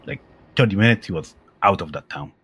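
A man's voice: low-pitched, animated, brisk, fairly bright tone, breathy edge. A middle-aged man from Afghanistan with an Afghan accent, a Dari speaker recounting his own story plainly and directly. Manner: plain, direct storytelling with uh fillers and simple grammar. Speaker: former interpreter, guest.

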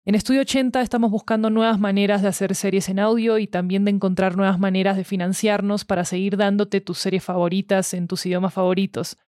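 A woman's voice: medium pitch, steady tone, fast talker, few pauses, darkish tone, no echo. A woman reading a scripted appeal to listeners as a studio spokesperson.

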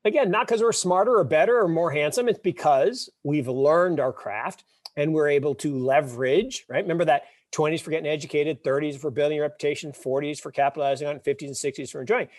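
Animated fifty-something man telling stories. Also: a little high, quick pace, no echo, studio quality. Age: 50s. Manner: animated storyteller, emphatic and wry. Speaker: podcast guest. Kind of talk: casual interview talk.

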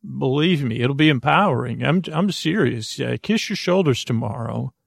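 A man with a gravelly, fry-edged voice at a middle pitch, recorded close and dry with no room sound. He hosts a podcast and rambles through its intro, doubling back on himself and trading deadpan jokes. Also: normal speed, no echo. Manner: meandering, self-interrupting, playful deadpan humor. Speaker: podcast host.

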